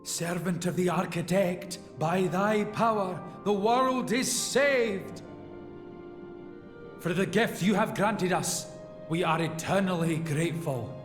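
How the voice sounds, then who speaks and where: A man's voice: sits high, lively intonation, slow. A man playing a noble legendary hero in a stage play within a video game, declaiming solemnly in archaic, grand phrases in an echoing theatre. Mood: solemn gratitude. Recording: echoey theatre hall, music behind.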